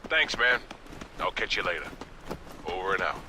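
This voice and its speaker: A man's voice: low-pitched, lively intonation, moderate pace, very noisy. A man playing an earnest, principled private military contractor, talking casually in an action video game. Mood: worried, confiding. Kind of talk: scripted action game dialogue, casual soldier talk. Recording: very loud music over the voice.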